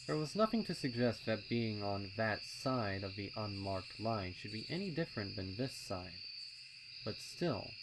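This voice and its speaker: A man's voice: on the low side, sing-song, measured pace, background noise. A man reading a scripted story as narrator. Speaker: narrator reading fan fiction. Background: crickets, insects, owl sound effects.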